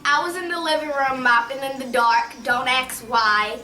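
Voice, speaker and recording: A woman's voice: high-pitched, steady tone, normal speed, bright, forceful tone. A young woman hosting a vlog in a casual, chatty style. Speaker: vlog host. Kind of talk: casual chat. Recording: echoey room, distant mic.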